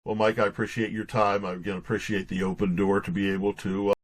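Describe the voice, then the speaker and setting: A man speaking as an interview guest in a casual, reflective and halting conversational way. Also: on the low side, level tone, background noise. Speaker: guest author being interviewed.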